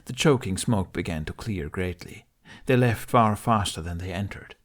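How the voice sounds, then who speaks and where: A man's voice: deep voice, normal speed. A man narrating a fantasy adventure audiobook in a dramatic, scripted storytelling style.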